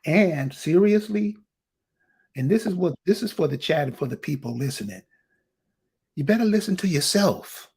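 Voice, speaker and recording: A man's voice: fairly high pitch, animated, normal speed, gravelly, pauses often, darkish tone, husky. A man hosting an online discussion, talking bluntly and emphatically, with swearing. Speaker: host.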